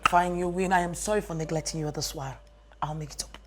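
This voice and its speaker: A woman's voice: sits low, fairly even intonation, quick pace, background noise, close to an everyday voice. An actress playing a busy, apologetic young career woman who catches up with her friend. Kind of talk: skit drama acting.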